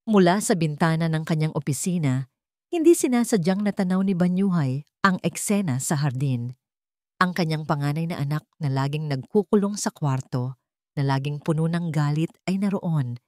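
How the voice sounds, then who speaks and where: Filipino woman reading a romance story, lowish voice, really dry close sound. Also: a little mellow, dry recording. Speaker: narrator. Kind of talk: scripted romance story narration.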